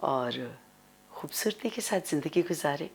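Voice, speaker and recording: A Pakistani woman talks as a show guest in a slightly low voice. She chats casually and chimes in with short, agreeing remarks. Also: expressive, a little slow, vocal fry, breathy edge. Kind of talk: casual conversational talk.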